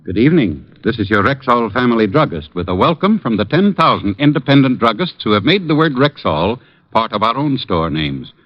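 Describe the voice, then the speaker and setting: An actor playing a courteous family druggist giving a measured, reassuring sales pitch in a 1950s radio commercial. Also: male voice, big pitch swings. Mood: earnest, reassuring. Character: courteous, earnest male family druggist endorsing products. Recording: dull vintage radio recording.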